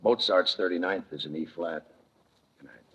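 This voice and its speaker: An actor playing a tough, laconic homicide lieutenant in a deadpan, hard-boiled 1950s radio crime drama style. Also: male voice, low-pitched, moderate pace, breathy edge. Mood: cool, terse. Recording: noisy old 1950 radio recording.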